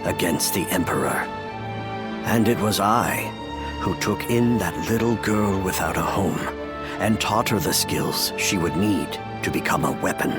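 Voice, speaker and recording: A solemn imperial official in a video game cutscene. His voice is fairly low and unhurried, weighed down by remorse, and he speaks with formal care over clear background music. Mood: remorseful. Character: grave, remorseful imperial official and adoptive father.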